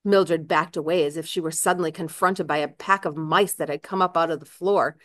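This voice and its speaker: A woman reading a novel aloud in expressive literary narration. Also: sits low, a little fast, dry recording. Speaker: reader, author.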